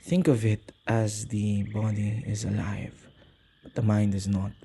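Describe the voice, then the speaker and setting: A man playing a possessive yet soft-hearted male witch in an intimate ASMR roleplay, speaking gently and haltingly in slightly archaic phrasing. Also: level tone, a little hiss or hum. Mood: somber, reluctant. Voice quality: low, husky, creaky voice.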